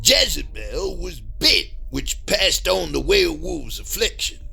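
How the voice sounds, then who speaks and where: A man playing a folksy, mock-formal rural Southern monster hunter in a comedy horror audio drama, with a gravelly, raspy voice. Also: fairly high pitch, sing-song, measured pace, sharp tone, faint music behind, heavily acted. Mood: grave storytelling.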